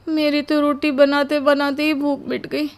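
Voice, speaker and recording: A woman acts out a young cartoon bride with a very high voice. She is overwhelmed and theatrical, exclaiming and complaining plaintively as if every chore were too much. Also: flat delivery, measured pace. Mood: weary complaining. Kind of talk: animated story voice acting.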